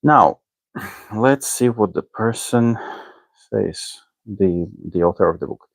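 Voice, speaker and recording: A man whose native language is Armenian, giving casual commentary as a discussion host in a hesitant, halting way, often checking in with the listener. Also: on the low side, vocal fry, no echo. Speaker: online teacher and discussion host. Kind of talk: casual spoken commentary on a book.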